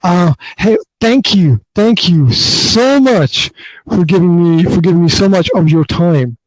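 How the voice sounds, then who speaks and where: A man's voice: high-pitched, lively intonation, measured pace, no echo, low-quality recording. A man from the north of Scotland, hosting a casual podcast interview over an online call, friendly and often repeating himself. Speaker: podcast host.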